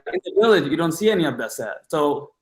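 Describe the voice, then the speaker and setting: A man's voice: fairly high pitch, sing-song, fast, darkish tone. Roomy-sounding young man from Bangladesh, casual. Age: 20s. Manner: informal, slangy, enthusiastic. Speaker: podcast guest. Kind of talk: casual personal storytelling.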